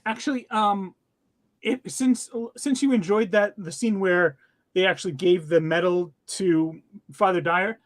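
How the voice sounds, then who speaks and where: A man co-hosts a casual podcast chat. He speaks in a halting, slightly hesitant conversational way. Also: sits high.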